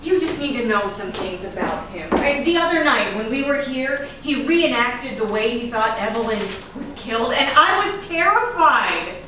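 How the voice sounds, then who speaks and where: Actress doing a nosy earnest wife in a stage play, high voice, distant mic with noise. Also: female voice, lively intonation, normal speed, few pauses, lightly acted. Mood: prying. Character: meddling, apologetic detective's wife, earnest and nosy. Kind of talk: staged reading of a stage drama. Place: echoey room at a staged reading. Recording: echoey room, distant mic, noisy background.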